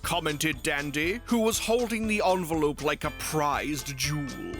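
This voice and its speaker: Low-voiced woman narrating a story. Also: a little slow, faint music behind.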